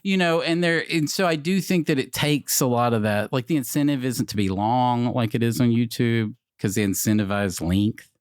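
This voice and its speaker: A man in his forties co-hosting a casual podcast chat, animated and swearing, with many restarts. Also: medium pitch, normal speed, few pauses, smooth, no room sound, clean studio sound. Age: 40s. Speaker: podcast co-host.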